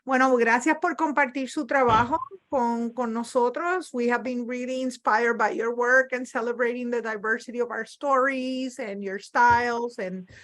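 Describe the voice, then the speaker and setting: A woman moderating an online film festival panel, switching between Spanish and English as she reads the questions. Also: fairly high pitch.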